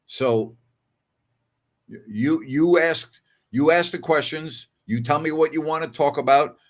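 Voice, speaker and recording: A man's voice: a little high, measured pace. An older man hosting a casual sports call-in show, talking in a conversational, emphatic way and repeating phrases for emphasis. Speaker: sports talk show host. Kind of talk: casual call-in show chat reading listener messages.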